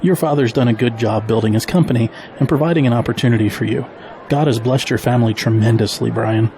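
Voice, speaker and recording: A man's voice: medium pitch, fast, a little mellow, a little hiss or hum, natural voice, low-quality recording. Man playing dry, wry middle-aged dad. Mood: sincere. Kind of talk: audio drama acting.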